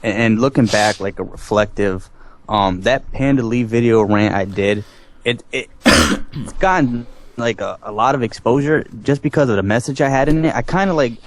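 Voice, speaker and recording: A man's voice: medium pitch, normal speed, slight background noise. A man on a podcast reflecting and thinking aloud in a casual way.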